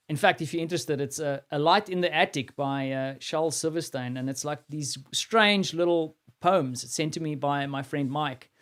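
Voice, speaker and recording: A man's voice: a little high, smooth. A man with a South African accent hosting a live stream, talking casually and rambling with many fillers and restarts.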